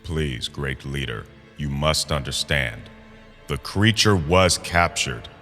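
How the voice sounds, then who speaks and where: A man's voice: rumbling low, expressive, slow pace, pushed and bright, full character voice. A man playing a bitter, subservient hunter in an audio drama, speaking formally and deferentially to his leader. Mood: pleading, angry. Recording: long hall reverb. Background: eerie horror music.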